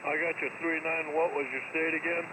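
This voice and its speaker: A man's voice: a little high, little pitch movement, a little fast. A man working a radio contest, giving clipped procedural exchanges with phonetic spelling, heard over shortwave. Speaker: amateur radio contest operator. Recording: noisy shortwave radio reception with clicks.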